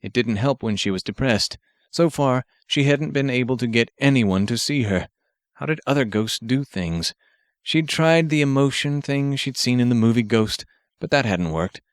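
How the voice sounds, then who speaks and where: A man's voice: mid-range voice, lively intonation, normal speed, clear, resonant tone, no room sound, clean studio sound. A man narrating fiction as an audiobook reader, telling the story from a script.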